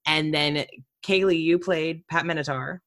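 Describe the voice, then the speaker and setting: A woman interviewer and actor chatting casually, animated and slipping into imagined quotes as she talks. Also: low voice, a little slow.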